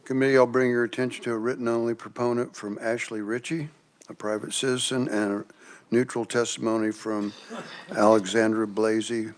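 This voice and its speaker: A man's voice: mid-range voice, level tone, a little fast, slight background noise. A man chairing a legislative committee meeting, running procedure in a courteous manner.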